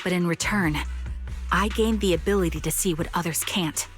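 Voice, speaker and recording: A woman's voice: lowish voice, moderate pace, soft background music, lightly acted. An actress voicing a stoic, wounded female soldier in a video game, earnest and restrained. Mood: defiant conviction. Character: stoic, haunted female soldier with impaired sight, guarded and wounded.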